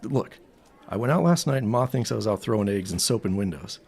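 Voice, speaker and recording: Conspiratorial teenage boy, period audio drama, husky, very animated intonation, earnest slang. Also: fairly low pitch, a little fast, exaggerated voice. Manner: earnest, boyish slang, secretive.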